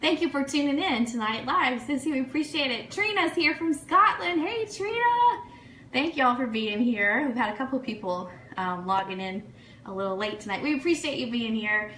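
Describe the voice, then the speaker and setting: A woman with a bright, fairly high voice hosts a casual livestream show. She chats in an effusive, excitable way, gushing through shout-outs to sponsors and listeners. The sound has the echo of a live room. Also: normal speed. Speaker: online radio show host. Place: room, live social media stream. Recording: echoey room, faint noise.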